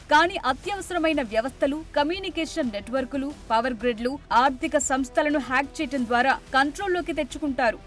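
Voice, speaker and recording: A woman delivering a scripted news narration as a reporter. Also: sits high. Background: music behind the voice.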